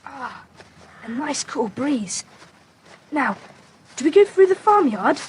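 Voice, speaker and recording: An eager young adventurer boy with a high, very animated voice. He sounds curious and excitable in a children's audio play, with slight background hiss. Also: slow pace, full character voice. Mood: alert, adventurous. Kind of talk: children's audio drama acting. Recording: slightly noisy tape transfer.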